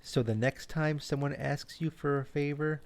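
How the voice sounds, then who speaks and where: A man telling a scripted children's bedtime story in a gentle, cozy, expressive way. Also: on the high side, moderate pace, gravelly. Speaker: storyteller and host.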